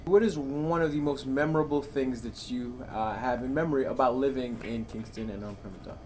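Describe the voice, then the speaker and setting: A man's voice: mid-range voice, a little fast, darkish tone, slight background noise. A young man interviewing a professor, asking short questions and laughing along. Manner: brief prompts, laughs along. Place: bookstore, seated in armchair indoors.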